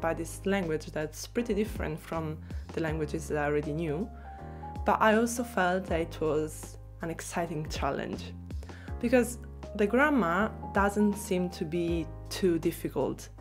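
A young woman with an Italian accent, talking casually to camera in a small room about learning a language, expressive and quick to laugh. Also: fairly low pitch, faint music behind. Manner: chatty, expressive, laughs easily.

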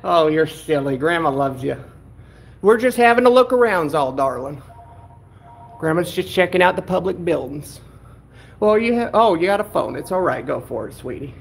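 Man playing a gravelly sugary grandma. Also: a little high, expressive, moderate pace. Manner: syrupy, calls everyone darling, talks of self as Grandma. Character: meddling sugary old grandma, elderly woman. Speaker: livestreamer filming public buildings. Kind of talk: trolling livestream banter. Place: indoor public building. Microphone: handheld phone camera.

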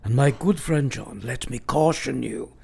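A man's voice: mid-range voice, unhurried, gravelly, a little mellow, slightly rough, exaggerated voice. A man playing an eccentric, commanding professor in a radio play, speaking in an earnest, formal and emphatic way. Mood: earnest counsel. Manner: emphatic, formal, oddly phrased, counselling.

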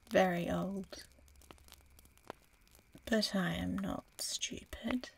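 An ancient female dragon played by a woman in a fantasy ASMR roleplay. She speaks very slowly and with a gravelly edge, breathy and proud, toying with her listener in quiet menace. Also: medium pitch. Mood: commanding, sly. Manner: slow, deliberate, breathy, teasing.

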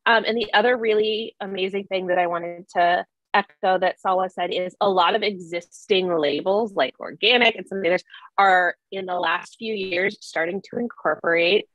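A middle-aged woman talks as a panelist in an online discussion. Her voice is medium in pitch with very little room echo, and her delivery is informal and conversational. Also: moderate pace, a bit bright, lo-fi sound. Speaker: expert panelist, environmental program director. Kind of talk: casual, conversational panel talk. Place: indoor room on a video call. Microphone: video call.

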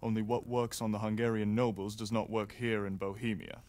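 An actor voices a well-spoken young nobleman in a game scene. He is polite and measured and lays out his reasoning step by step. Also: on the low side, little pitch movement, a little mellow, natural voice. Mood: explaining. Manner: polite, explanatory. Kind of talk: video game cutscene dialogue.

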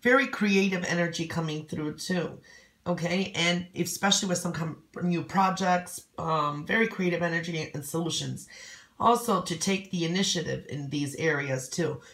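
A hoarse woman giving a casual fortune reading from coffee grounds, loose and chatty with many ums. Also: on the low side, normal speed, a bit bright. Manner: loose and conversational, many "um"s and "okay?"s. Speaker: coffee cup reader.

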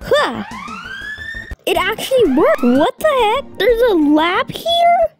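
A woman voicing a whiny, dramatic young girl in an amateur animated zombie roleplay. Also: extremely high pitch, a little slow, soft background music, pitched above the performer's own voice. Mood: amazed.

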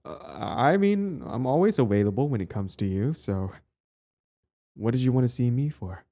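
A man's voice: mid-range voice, lively intonation, normal speed, dark tone. A young man acting a cocky, possessive and smitten bully in an audio roleplay spoken to the listener. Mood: flustered, eager. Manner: stammering, swaggering, profane.

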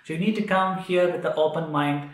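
A man's voice: a little high, a little fast. A man in his thirties from India, with an Indian accent, talking to the camera in a kitchen with many fillers and restarts. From India, Kerala. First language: Malayalam. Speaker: vlogger. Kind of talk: casual talk to camera. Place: indoors, small kitchen room. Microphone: camera at a short distance. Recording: echoey room.

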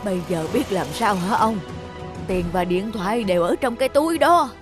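An actress playing a kind, worried elderly grandmother in a cartoon, speaking gently and plaintively. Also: medium pitch, expressive, moderate pace, lightly acted. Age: older. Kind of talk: animated moral tale voice acting. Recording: music playing behind the voice.

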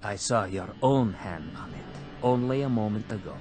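A man playing a calm, vengeful ex-prisoner. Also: on the low side, lightly acted. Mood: menacing calm. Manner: quiet, measured, menacing. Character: calm vengeful former male camp prisoner. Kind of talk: radio drama acting. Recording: radio play with music behind.

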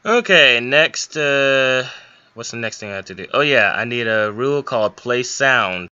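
A man's voice: mid-range voice, animated, moderate pace, sharp tone, clear, resonant tone, no room sound, dull audio. A young man giving an informal, step-by-step screen tutorial with a somewhat hesitant way of explaining. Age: young adult. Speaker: hobbyist game modder giving a tutorial.

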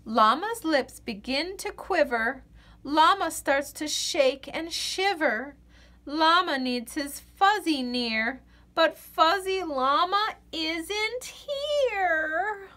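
A woman reading a children's picture book aloud in an expressive, sing-song way. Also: extremely high pitch, very slow. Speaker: children's story reader.

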